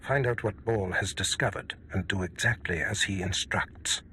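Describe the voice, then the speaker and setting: Cruel, commanding Sith lord, sharp-edged. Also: male voice, lowish voice, slight background noise, poor audio. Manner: slow, menacing, imperious. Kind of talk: video game villain acting.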